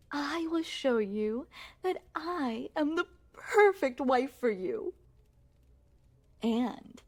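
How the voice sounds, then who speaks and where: Girl doing a yandere queen for asmr roleplay, high voice, super slow n regal, sweet but menacing, obsessed. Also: a little mellow, heavily acted. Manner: regal, formal, slow and deliberate, doting with menace. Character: possessive yandere queen, secretly a cat shapeshifter, sweetly menacing. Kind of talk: ASMR roleplay, scripted character acting addressed to the listener.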